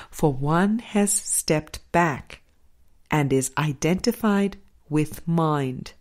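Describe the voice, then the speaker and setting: A woman reading a scripted spiritual audiobook in a measured, deliberate way, pausing between phrases. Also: low-pitched, a little mellow. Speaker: audiobook reader.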